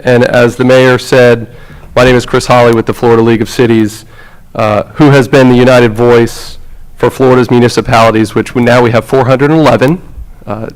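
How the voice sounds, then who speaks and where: A man with a self-described loud voice, giving formal remarks as a visiting league representative in a council chamber. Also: medium pitch, poor audio. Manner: loud, outgoing. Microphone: podium microphone.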